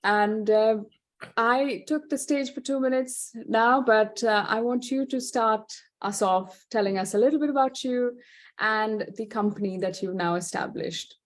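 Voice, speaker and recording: A woman's voice: on the high side, a little slow. A woman hosting a podcast, introducing her guest in a chatty way with frequent fillers. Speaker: podcast host. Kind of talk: interview introduction.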